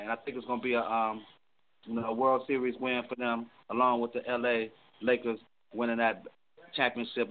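A man on a sports talk panel giving a loose, rambling take full of restarts. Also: mid-range voice. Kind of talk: sports analysis. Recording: noisy phone-line audio.

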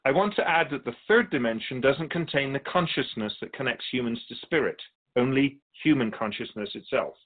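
A man playing a calm otherworldly being in a radio drama interview, explaining humanity to humans in a measured, knowing, didactic way. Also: mid-range voice, narrow, tinny audio, close to an everyday voice. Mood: assured, teaching.

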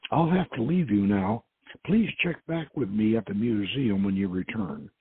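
A man's voice: fairly low pitch, lively intonation, normal speed, slightly rough. A man reading the part of a courteous, helpful woman museum guide in an adventure novel, with polite formality. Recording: muffled narrowband radio-like audio.

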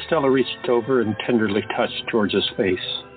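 A man narrates an audio drama with steady, dramatic storytelling. His voice is fairly low. It comes through thin and phone-like, over soft background music. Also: normal speed.

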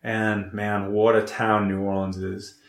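A man's voice: lowish voice, little pitch movement, unhurried. An upbeat, chatty American man in his forties, talking casually on a podcast. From Chicago, United States. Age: 40s. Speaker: podcast host and athlete. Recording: roomy, echoing podcast recording.

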